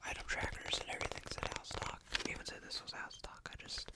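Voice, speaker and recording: An exhausted ASMR creator rambling very softly in a hushed, sleepy whisper late at night in a bedroom. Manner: very soft, hushed, unhurried rambling. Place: small bedroom, lying in bed at night.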